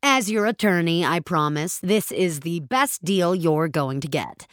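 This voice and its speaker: A woman's voice: fairly low pitch, a little slow, fairly bright tone, no room sound, clean studio sound. A woman voicing a weary male public defender, officious and coaxing, in an audio fiction story. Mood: weary, pleading.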